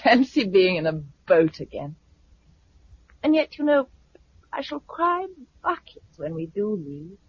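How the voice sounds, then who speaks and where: Resolute, wistful British farm wife, husky and expressive, on a dull 1950s radio recording. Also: female voice, mid-range voice, a little slow, no echo.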